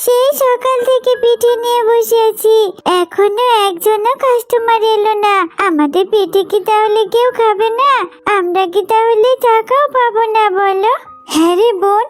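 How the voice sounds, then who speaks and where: Casting: woman as small cartoon fox girl, extremely high voice, earnest, dutiful, childlike. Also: normal speed, faint music behind.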